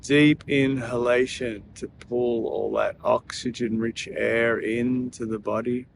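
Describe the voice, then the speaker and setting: A man leading a guided breathing meditation in a soothing, gentle manner, leaving long pauses between phrases. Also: mid-range voice, steady tone, gravelly, a little hiss or hum.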